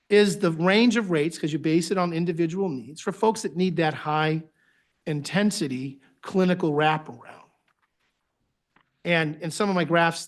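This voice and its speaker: A man with a Boston accent, giving a conversational presentation to a board at a public meeting, with phrase ends that trail off. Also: fairly high pitch. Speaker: agency director presenting to a board.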